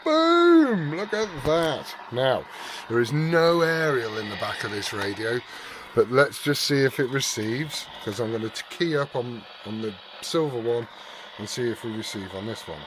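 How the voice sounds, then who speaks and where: A man's voice: medium pitch, sing-song, a little slow, noisy background. A man giving a casual, sweary hands-on repair vlog, muttering to himself as he works. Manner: informal, sweary, muttering to himself while working. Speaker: hobbyist video host.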